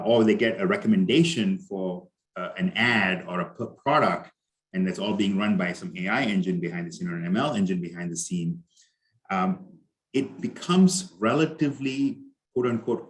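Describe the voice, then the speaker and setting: A middle-aged man with an Indian accent presents at a conference in a loose, conversational way, full of fillers and restarts. His voice sits a little low. He speaks over a video call. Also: lively intonation, normal speed.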